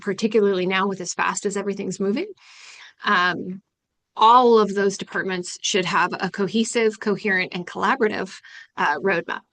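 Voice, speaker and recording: A woman's voice: medium pitch, fairly even intonation, normal speed, fairly bright tone. A woman presenting in a business webinar, stressing and repeating her key points. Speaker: webinar presenter, company founder.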